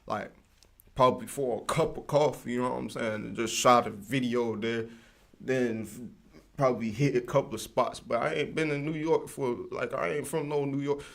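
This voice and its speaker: A man's voice: medium pitch, fairly even intonation, normal speed, gravelly. A man hosting a livestream in casual, rambling slang with lots of fillers. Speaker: livestream host.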